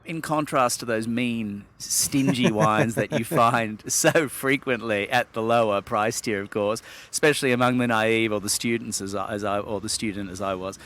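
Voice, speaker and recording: A man's voice: on the low side, normal speed, few pauses, fairly bright tone. A middle-aged man from Sydney, Australia, a wine expert guest, speaking in articulate, wordy sentences with hesitant fillers. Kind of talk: relaxed interview talk.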